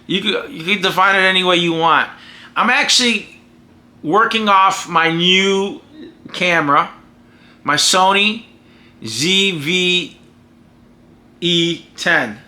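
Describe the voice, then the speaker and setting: A man's voice: high-pitched, takes it slowly, pauses often, sharp tone. An American man holding forth in a casual, opinionated vlog monologue, talking emphatically and rambling with frequent restarts. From United States. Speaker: vlogger and podcaster.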